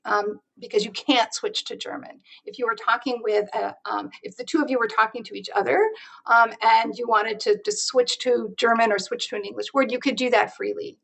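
Woman guest explaining linguistics stuff, kinda gravelly, stops and restarts a lot. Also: on the high side, steady tone, moderate pace, fairly bright tone, rough recording. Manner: thoughtful, explanatory, with fillers and restarts. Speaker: researcher guest. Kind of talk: explaining research in an interview.

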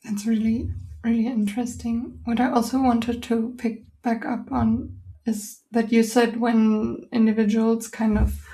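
Flat, gravelly woman host, German native. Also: on the high side, measured pace, low-quality recording. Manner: reflective, sharing personal experience. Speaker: podcast host. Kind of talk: interview questions.

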